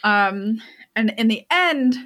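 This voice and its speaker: A woman's voice: on the high side, expressive, takes it slowly, fairly bright tone. Chatty young woman rambling through a story. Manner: rambling, filler-heavy retelling with many restarts. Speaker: storyteller recapping a book. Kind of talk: casual chat.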